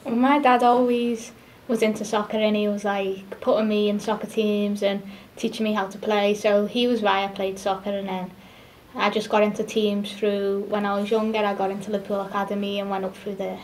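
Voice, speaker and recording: A woman's voice: mid-range voice, little pitch movement, brisk, a little mellow, low-quality recording. A young woman from England talking as a soccer player guest in a plain, matter-of-fact way. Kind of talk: casual interview chat.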